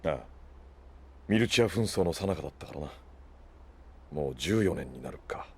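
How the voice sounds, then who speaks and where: A shrewd, self-possessed leader with a low voice. He speaks coolly and dryly in measured phrases, in anime audio drama style. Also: expressive, breathy edge, exaggerated voice. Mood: composed. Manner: cool, measured, dry. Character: composed, shrewd adult man, dryly teasing leader.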